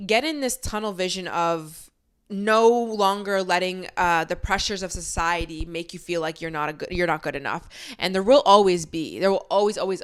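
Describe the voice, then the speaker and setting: Young woman podcaster, smooth clear voice, frank and casual, swears a bit, pep talk vibe. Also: on the low side, fairly bright tone. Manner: candid, casual, swears freely, rhetorical questions. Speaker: podcast host. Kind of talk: solo motivational pep talk.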